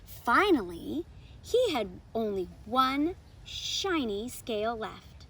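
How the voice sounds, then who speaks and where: Female host for children's storybook lessons: upbeat, very expressive pitch, simple friendly delivery. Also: slow pace, breathy edge. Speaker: host of a children's read-aloud channel. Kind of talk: upbeat lesson intro and storybook read-aloud.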